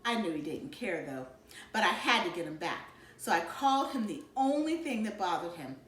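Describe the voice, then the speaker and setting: Animated woman reading in an echoey room. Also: on the low side, normal speed. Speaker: read-aloud narrator. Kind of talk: expressive storybook narration.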